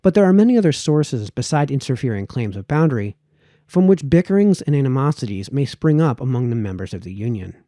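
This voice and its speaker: A man reading a formal essay aloud as an audiobook, with measured, emphatic phrasing that falls away at sentence ends. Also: medium pitch, sing-song, dark tone, no room sound, studio quality. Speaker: amateur audiobook reader.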